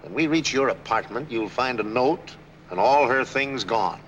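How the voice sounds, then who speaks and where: Actor as wry, forceful 1940s private eye. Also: male voice, mid-range voice, expressive, moderate pace, muffled recording, natural voice. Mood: wry, knowing. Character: skeptical, wry private detective. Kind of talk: 1940s film noir acting. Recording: hissy 1940s film soundtrack.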